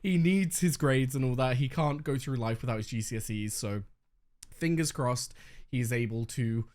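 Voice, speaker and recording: A young man hosting a reaction video in casual, candid chat, slightly hoarse as he is losing his voice. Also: mid-range voice, normal speed, darkish tone. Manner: chatty, candid, swears freely.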